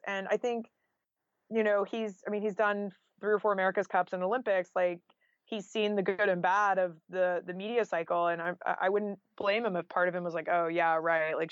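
A woman with a medium-pitched voice talks casually as an interview guest, in a storytelling way full of conversational asides. The recording is dry and slightly dull, with little room sound. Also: no echo. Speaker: interview guest, author and journalist.

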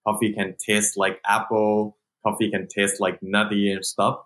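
Thai man speaking halting, casual English. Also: on the low side, level tone, fairly bright tone, poor audio. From Thailand. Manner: halting non-native English with frequent "like" and restarts, laughs often. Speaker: guest entrepreneur. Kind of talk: casual interview.

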